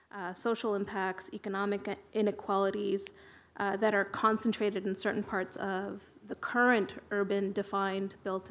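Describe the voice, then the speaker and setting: A woman councillor asking a long, halting question in a council meeting, her voice a little worn. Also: medium pitch. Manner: measured, halting with fillers. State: losing her voice. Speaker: city councillor. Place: council chamber.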